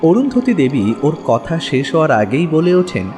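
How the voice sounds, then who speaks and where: Expressive male horror story narrator. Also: on the high side, normal speed, soft background music.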